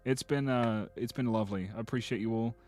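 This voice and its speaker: A man with a slightly hoarse voice, rambling and joking freely as he chats through a livestream. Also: medium pitch, fairly quick, a little mellow, soft background music. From Britain. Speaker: streamer. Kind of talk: casual livestream commentary.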